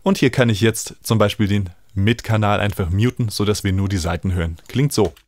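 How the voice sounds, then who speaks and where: A man, explaining music software informally as he demonstrates it in a tutorial. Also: fairly low pitch, fairly quick, no room sound, clean studio sound. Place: small indoor home studio. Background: electronic music he is working on.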